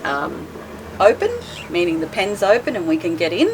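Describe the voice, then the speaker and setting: Low-voiced woman telling boat stories. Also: moderate pace, background noise. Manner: chatty, laughs often, lively retelling. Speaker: guest boat owner. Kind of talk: casual storytelling chat.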